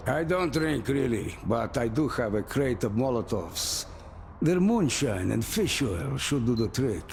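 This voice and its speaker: Weary, wary survivor captain: heavy fry, slow delivery, wry game-cutscene drama. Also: male voice, medium pitch, big pitch swings, background noise. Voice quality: gravelly. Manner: deliberate, wry, world-weary. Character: weary, distrustful older male captain of survivors. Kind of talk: cinematic video game acting.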